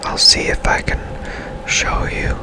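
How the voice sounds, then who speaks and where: A man hosts gaming ASMR entirely in a breathy, unvoiced whisper. He takes his time, leaving his words slow and spaced out. He sounds hushed and unhurried, as if thinking aloud while he plays. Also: rough recording. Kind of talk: whispered ASMR game commentary.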